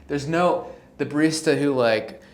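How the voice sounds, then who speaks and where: A man's voice: a little high, a little slow, a little hiss or hum. Casual male podcast co-host, thirties, filler-heavy delivery, roomy sound. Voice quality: voice tires and goes hoarse easily. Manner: casual, says "like" and "dude" often. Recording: echoey room.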